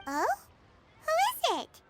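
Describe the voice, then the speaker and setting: Squeaky tiny floating sidekick, chirpy, very high, bouncy melody, music clearly behind. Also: female voice, takes it slowly, full character voice. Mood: curious. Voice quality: squeaky, childlike. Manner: chirpy and excitable. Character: tiny floating female companion, chirpy and curious. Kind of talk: video game cutscene dialogue.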